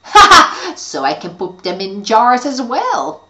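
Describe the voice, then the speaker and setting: A woman's voice: on the high side, very animated, takes it slowly, noisy background, pitched above the performer's own voice, exaggerated voice. A woman voicing a scheming, mean female witch who gloats and cackles. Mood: gloating. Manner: cackling, sly and exaggerated.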